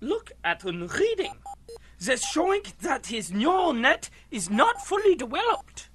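A theatrical, exasperated professor for a spoof radio space serial. The voice is bright and piercingly high, drawn out with condescension. Faint noise sits behind it. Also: female voice, big pitch swings, unhurried, full character voice. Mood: explaining. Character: exasperated, pedantic futuristic professor of medicine. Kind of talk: parody old-time radio serial acting.

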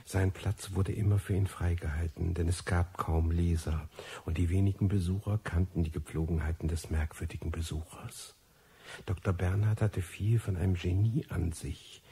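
A reclusive, disillusioned narrator in a radio play. His voice is low and very husky, with gravel in it. He tells his story in a brooding, ironic manner. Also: fairly even intonation, normal speed, dark tone, exaggerated voice. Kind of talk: scripted first-person radio play narration.

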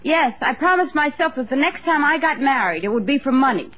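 A woman's voice: high-pitched, lively intonation, brisk, a bit bright. An actress playing a coy, frankly money-minded woman being courted in a 1950s radio sitcom. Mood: coolly playful. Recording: muffled, faintly noisy 1950s radio broadcast.

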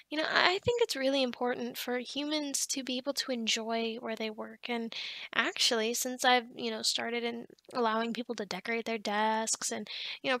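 A woman performs an upbeat female office boss for an ASMR roleplay. Her voice is high and bright, recorded very dry. She chats in a bubbly, slightly scatterbrained way, hesitating as she goes and always encouraging. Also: no room sound. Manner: bubbly, chatty, with giggles and hesitations. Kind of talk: ASMR roleplay acting, conversational.

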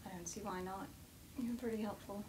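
A woman's voice: medium pitch, fairly even intonation, brisk, low-quality recording. A woman playing a tabletop roleplaying game, speaking casually as she improvises. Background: music.

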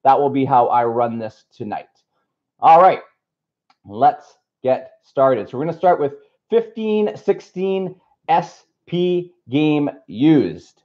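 A man from Canada hosting a live card break from a table indoors, upbeat and slightly raspy from being unwell. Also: mid-range voice, expressive, slow pace, lo-fi sound. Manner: upbeat, reads off a list of names. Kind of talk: casual live stream chat.

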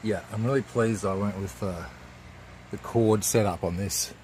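A man's voice: on the low side, fairly even intonation, moderate pace, a little mellow, a little hiss or hum. A man in his forties with an Australian accent, talking casually and unscripted as a laid-back, colloquial vlogger. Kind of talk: casual, unscripted vlog talk.

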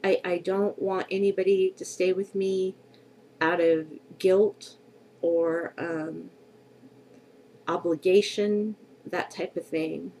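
A woman's voice: on the low side, steady tone, slow, mellow, low-quality recording. A middle-aged woman vlogging to her webcam at home, congested from a cold, speaking candidly and reflectively with many hesitations. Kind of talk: personal vlog to camera. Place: bedroom at home, indoors. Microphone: webcam at desk distance.

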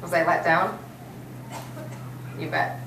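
A woman's voice: on the low side, fairly even intonation, takes it slowly, fairly bright tone. An American woman in her forties delivering a keynote commencement speech from a podium. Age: 40s. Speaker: keynote speaker. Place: hotel hall, at a podium on stage. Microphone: podium mic, captured by far audience camera. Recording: roomy, distant, noisy auditorium recording.

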